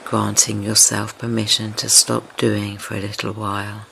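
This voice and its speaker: A man leading a guided meditation in a gentle, soothing, tender manner, unhurried and with long pauses between phrases. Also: on the low side, gravelly, poor audio. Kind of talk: slow guided meditation, yoga nidra. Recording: steady ocean wave noise behind voice. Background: ocean wave sounds.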